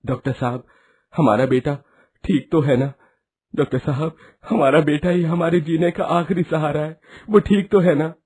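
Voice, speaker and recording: A man voicing a worried, aging village husband in an animated story, with an earnest, somewhat husky voice. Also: fairly high pitch, moderate pace. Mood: pleading.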